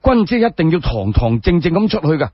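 A man voicing a principled, stubborn gentleman official who speaks firmly and emphatically. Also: a little high, very animated, brisk, husky, dry recording, lo-fi sound. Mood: stubborn, righteous. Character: upright, stubborn gentleman official, refusing indignity.

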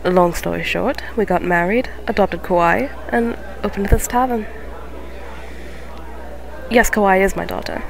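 A woman playing a gentle, motherly young cat witch who keeps a tavern, speaking softly and formally in an ASMR fantasy roleplay. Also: medium pitch, gravelly, low-quality recording. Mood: fond, reminiscing. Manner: soft-spoken, formal, unhurried phrasing. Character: gentle eighteen-year-old neko witch tavern keeper, motherly, polite.

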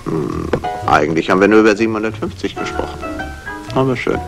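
An actor playing a cool, businesslike gem smuggler in a 1960s German crime radio play, curt and matter-of-fact. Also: male voice, fairly low pitch, sing-song, fast, vocal fry, music in the background.

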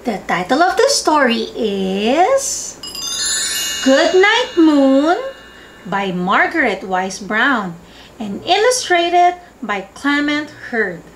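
A woman teacher talks to small children in a bright, high voice that swoops up and down. She speaks very slowly, in an encouraging sing-song. Music with a tambourine plays clearly behind her. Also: very animated, low-quality recording. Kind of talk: children's lesson and storybook reading.

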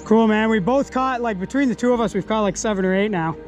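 A young man fly fishing outdoors while wading in a river, excitedly commenting on each catch into a body-worn action camera. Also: high-pitched, fast talker, music in the background. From Canada. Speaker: fly-fishing angler and host. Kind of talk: casual outdoor vlog commentary.

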